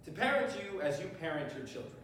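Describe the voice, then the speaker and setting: A man on stage playing a principled defense attorney with a measured, rhetorical courtroom delivery. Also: on the high side, big pitch swings, a bit bright. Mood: persuasive. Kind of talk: live stage readers theater. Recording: distant mic, echoey room, faint noise.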